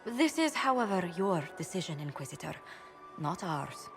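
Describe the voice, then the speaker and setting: A woman playing a poised, sharp court advisor in a fantasy game, articulate and emphatic. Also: on the low side, very animated, normal speed. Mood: concerned, pointed. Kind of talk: fantasy game cutscene acting. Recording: faint music behind the voice.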